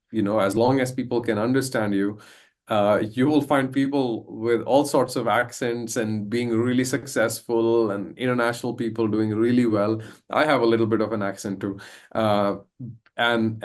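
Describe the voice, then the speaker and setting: A man's voice: lowish voice, darkish tone. A man hosting a podcast interview in a casual, affirming way, with many hesitant fillers. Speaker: podcast host.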